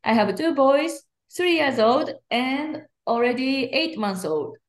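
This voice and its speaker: A woman's voice: high voice, expressive, takes it slowly, reverberant. A woman from Japan hosting a parenting podcast in casual chat, asking short questions and giving brief encouraging replies. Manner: short encouraging responses, simple phrasing. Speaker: podcast host.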